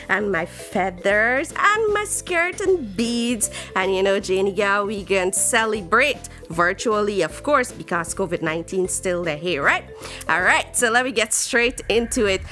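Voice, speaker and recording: A woman with a Guyanese accent hosting a children's storytime, speaking in a theatrical, exclamatory way with drawn-out emphasis. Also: medium pitch, sharp tone.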